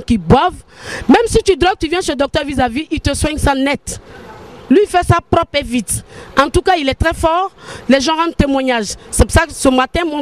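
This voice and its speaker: Lively Ivorian woman reporter. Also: sits high. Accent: Ivorian French. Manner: lively, teasing, chatty. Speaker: reporter and host. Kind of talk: casual street interview.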